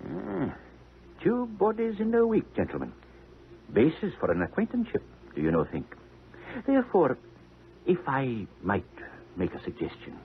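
An actor playing a fussy, cultured, pompous surgeon with a halting, precise delivery in a 1950s radio play. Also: male voice, on the high side, wildly swooping pitch, creaky, heavily acted. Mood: fussy, insinuating. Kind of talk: 1950s radio drama acting. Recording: tinny, noisy old 1950s radio broadcast.

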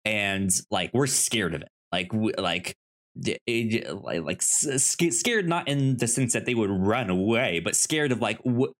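A man co-hosting a casual film discussion, speaking haltingly and often stammering over the starts of words. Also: on the low side, lively intonation, fairly bright tone. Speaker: podcast co-host.

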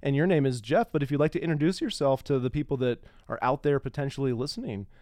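A man's voice: medium pitch, fast, darkish tone, no room sound, clean studio sound. A middle-aged man hosting a podcast, shifting between dramatic reading and casual talk. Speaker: podcast host.

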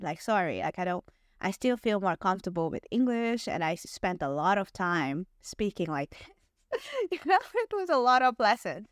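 A woman's voice: on the low side, dry recording, clean studio sound. A woman in her twenties, a podcast host who grew up in Hungary, talking casually with lots of fillers and laughs. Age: 20s. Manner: chatty, laughs often, many fillers. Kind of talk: casual interview chat.

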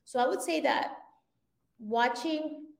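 A woman who grew up in Venezuela, a filmmaker guest in a casual interview, talking in an animated way and laughing often. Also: high-pitched, slow pace, creaky. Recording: roomy echoey sound.

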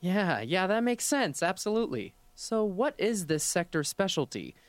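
A man's voice: high voice, big pitch swings, moderate pace, dry recording. A man playing an eager, nervous young scientist in an audio drama, polite, hesitant and awestruck. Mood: awed, curious.

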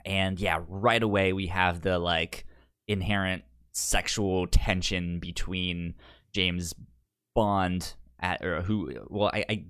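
A man co-hosting a casual film discussion podcast, speaking with a pronounced stutter that repeats sounds and syllables in a halting delivery. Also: deep voice, fairly even intonation, a bit bright, clear, resonant tone. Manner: halting, hesitant delivery with frequent restarts. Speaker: podcast co-host.

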